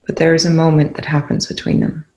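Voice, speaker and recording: A calm, soothing voice leading a guided meditation in gently spaced phrases. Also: medium pitch, fast talker, highs cut off. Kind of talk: guided meditation, soft instruction. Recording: roomy, echoing indoor sound.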